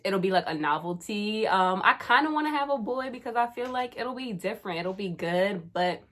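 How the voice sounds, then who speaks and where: A woman's voice: medium pitch, animated, moderate pace, a bit bright. Young woman YouTuber, twenties, chatty and slangy, casual to-camera talk. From Caribbean, raised in New Jersey. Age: 20s. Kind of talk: casual vlog chat.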